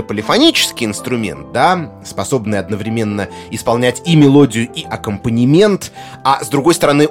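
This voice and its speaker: Expressive man presenting a music lecture. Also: mid-range voice. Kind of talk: explanatory video essay narration on film music.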